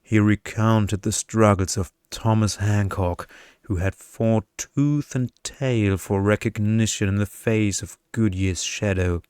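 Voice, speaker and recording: A man narrating a short story in a deliberate, seductive ASMR storytelling style. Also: lowish voice, steady tone, darkish tone, clean tone, no echo, studio quality. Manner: slow, deliberate, seductive delivery.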